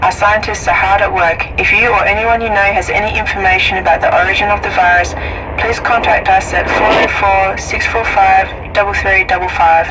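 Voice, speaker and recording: A woman's voice: medium pitch, steady tone, a little fast. Woman playing govt radio announcer lady, dry official bulletin, far off and really noisy. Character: Miranda, official female disease-agency radio announcer, dryly wry. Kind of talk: scripted radio public-service bulletin. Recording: distant, noisy radio broadcast.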